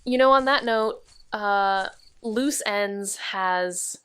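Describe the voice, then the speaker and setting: A woman playing an awkward, dryly wry amateur sleuth in an audio drama, speaking in a halting, hedging way. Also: mid-range voice, fairly bright tone, clear, resonant tone, no echo. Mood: awkward, teasing. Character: awkward young female amateur sleuth, narrating noir-style. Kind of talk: audio drama dialogue and noir narration.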